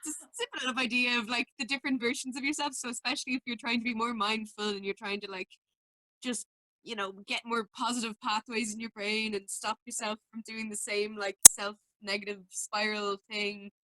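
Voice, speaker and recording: A young woman from Mayo with an Irish accent, a musician talking casually about her work with animated, self-deprecating storytelling. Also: fairly high pitch, brisk, no room sound, low-quality recording. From Mayo, Ireland. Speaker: musician guest. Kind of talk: casual interview chat.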